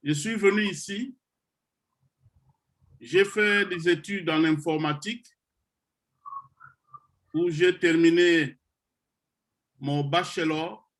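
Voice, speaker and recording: A middle-aged man from the Congo, slowly and deliberately addressing trainees of his online computer course. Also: a little high, fairly even intonation, a bit bright. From Democratic Republic of the Congo. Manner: slow, deliberate, with pauses and hesitations. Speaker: project founder leading an online computer course. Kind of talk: motivational talk to trainees on a video call.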